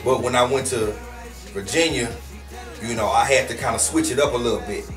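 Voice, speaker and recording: A man's voice: mid-range voice, brisk, roomy sound, distant mic, over music. A man from Houston, a rapper being interviewed, talking loosely and casually with lots of fillers. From Houston, Texas. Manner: laid-back, slangy, with many fillers. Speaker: guest rapper.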